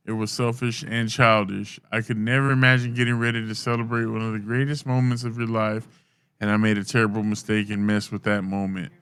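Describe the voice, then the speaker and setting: A man's voice: mid-range voice, a bit bright, clear, resonant tone. A man co-hosting casual sports talk with a blunt, emphatic, slangy delivery. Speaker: podcast co-host.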